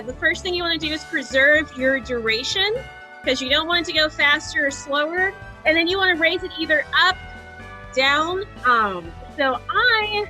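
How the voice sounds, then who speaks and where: A woman's voice: high voice, sharp tone. A woman hosting a video editing tutorial in a casual, chatty, informal way.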